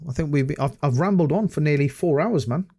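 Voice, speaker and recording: A man hosting a casual solo gaming podcast, hoarse after hours of talking and rambling with many hesitant restarts. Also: a little high, fairly quick, warm tone. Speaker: podcast host.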